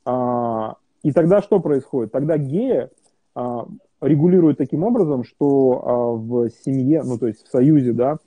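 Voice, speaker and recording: A man's voice: medium pitch, unhurried, mellow, slightly rough, dry recording. A man being interviewed, talking in a casual, hesitant way with many fillers and restarts. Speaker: interview guest. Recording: tinny phone-like line.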